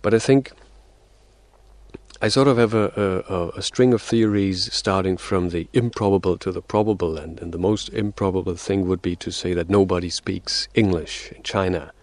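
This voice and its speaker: A man with a very low voice answers interview questions in a dry, close studio sound. He explains his ideas step by step, stumbling over restarts and fillers as he goes. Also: fairly even intonation, moderate pace, darkish tone, dry recording. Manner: hesitant, many restarts and fillers; explains in numbered theories. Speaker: guest.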